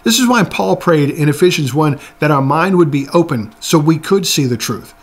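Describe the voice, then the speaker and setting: A man's voice: on the high side, expressive, moderate pace, husky. A man in his forties giving an earnest, exhorting Bible teaching to camera in a room lined with bookshelves, often restarting words.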